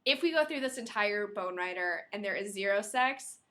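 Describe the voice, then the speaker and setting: A woman's voice: mid-range voice, lively intonation, normal speed, fairly bright tone. A woman co-hosting a podcast, speaking informally and playfully, with a dramatic flair when reading aloud. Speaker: podcast co-host. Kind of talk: reading a novel aloud with casual banter.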